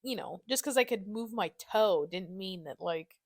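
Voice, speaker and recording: A woman's voice: medium pitch, moderate pace, no echo, studio quality. A young woman hosting a podcast, chatting casually in a teasing, slangy way with some swearing. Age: young adult. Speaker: podcast host. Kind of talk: casual banter with a podcast guest.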